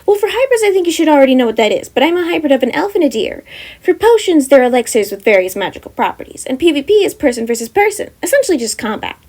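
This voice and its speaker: A woman voicing a cheerful young deer-elf wizard girl who runs an academy, talking brightly and eagerly. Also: sits high, sing-song, fast talker, voice pushed up.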